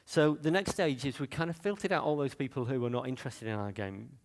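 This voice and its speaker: A man's voice: mid-range voice, fast talker. A man from Manchester with a Mancunian accent, giving a chatty conference talk full of fillers and restarts. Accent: Mancunian English. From Manchester, England. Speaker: conference speaker. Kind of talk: conference talk on game marketing. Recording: long reverb of a hall.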